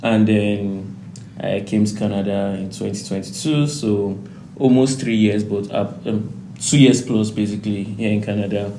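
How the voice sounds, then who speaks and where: A young man with a Nigerian accent, a guest on a podcast, speaking in a measured, thoughtful way. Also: on the low side, level tone, mellow. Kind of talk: casual podcast chat. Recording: echoey room with faint noise.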